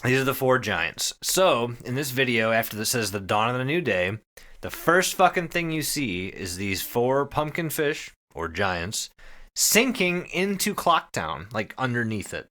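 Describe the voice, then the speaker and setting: A man with a bright, forceful voice retells a story as a podcast host. His delivery is casual and full of swearing, with pitch swinging widely as he goes. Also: measured pace. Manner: profane, animated retelling. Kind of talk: casual storytelling recap with co-hosts.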